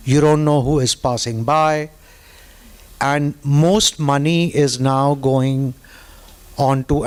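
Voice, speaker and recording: A middle-aged man talking on a business panel. He speaks slowly and deliberately at a mid pitch. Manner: measured, deliberate delivery. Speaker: panel guest, media agency head. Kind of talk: panel discussion.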